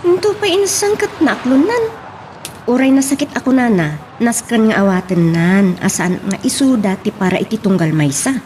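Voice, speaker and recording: Loyal, worried young cousin, played by a woman, Ilocano Filipino accent, high lively voice, faint noise behind, audio drama. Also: normal speed, close to an everyday voice. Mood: troubled, earnest.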